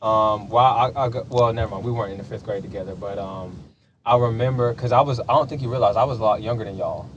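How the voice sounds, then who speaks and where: Young man hosting, faint rumble behind. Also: quick pace, lo-fi sound. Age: late 20s. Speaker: podcast host. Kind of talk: casual podcast chat. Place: podcast studio.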